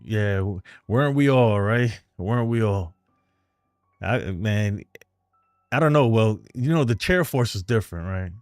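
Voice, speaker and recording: A man with a New York accent talks in a low, dry voice, rambling and frank as he hosts a casual live stream. He chats loosely with viewers, and faint music plays behind him. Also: lively intonation, a little slow, a little mellow, no room sound. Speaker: host of a fan live stream.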